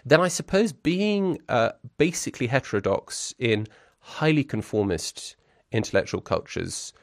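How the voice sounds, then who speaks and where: A man's voice: mid-range voice, very animated, a little slow, gravelly, no room sound, studio quality. A man in his forties who grew up in Brussels, speaking as an interview guest. He talks in a reflective, articulate and wry way, full of restarts and fillers.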